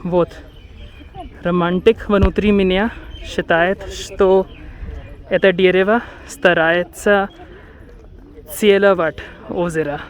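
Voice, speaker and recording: A man's voice: high voice, steady tone, takes it slowly, long pauses. A man from India, narrating a walking tour outdoors in a lakeside park, careful and dreamy, often correcting himself. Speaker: travel vlogger.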